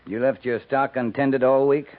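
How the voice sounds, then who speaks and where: An actor playing a steady, weary US cavalry captain in a 1950s radio western, speaking in a calm, laconic, plain-spoken way. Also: male voice, mid-range voice, normal speed, close to an everyday voice. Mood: businesslike. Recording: noisy, tinny 1950s radio recording.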